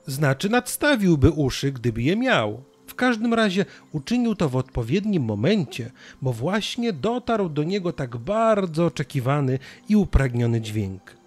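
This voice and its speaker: A man narrating a scripted children's story as an expressive storyteller. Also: on the high side, moderate pace, hardly any pauses.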